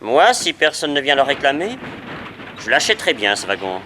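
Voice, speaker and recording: An actor playing an eager, excitable museum owner in a French radio drama, talking with enthusiasm. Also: male voice, mid-range voice, normal speed, slight background noise. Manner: enthusiastic, animated.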